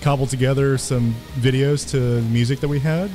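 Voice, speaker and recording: A man's voice: medium pitch, fairly even intonation, a little fast, mellow, soft background music. A man hosting a band podcast in casual chat, easygoing and brief in his replies. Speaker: podcast host, drummer.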